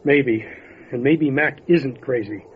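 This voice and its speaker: An actor playing a steady, resolute county sheriff in an old time radio drama, matter-of-fact in manner. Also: male voice, mid-range voice, slow pace, husky. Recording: dull lo-fi audio with light noise.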